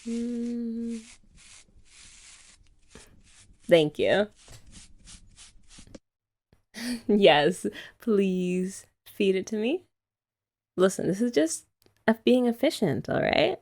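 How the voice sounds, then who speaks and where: A woman's voice: on the high side, expressive, very slow, long pauses, a little mellow, exaggerated voice. A young woman voicing a playful, affectionate girlfriend in an ASMR roleplay, chatting casually with giggles and filler words.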